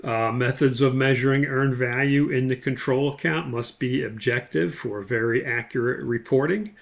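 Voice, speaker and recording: A man teaching as a course instructor, explaining material in a halting lecture style with frequent uhs. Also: medium pitch, fairly even intonation, gravelly. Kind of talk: instructional lecture on project management.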